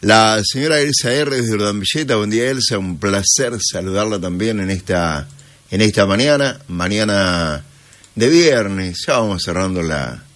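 A man's voice: on the low side, expressive, unhurried, a bit bright. A sleepy man with an Argentine accent hosting a morning radio show, chatting casually and unhurriedly with listeners.

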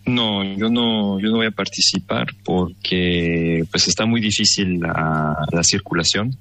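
A man's voice: deep voice, a little slow, sharp tone. A Mexican man interviewed by phone, answering thoughtfully with many fillers. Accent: Mexican Spanish. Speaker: interview guest.

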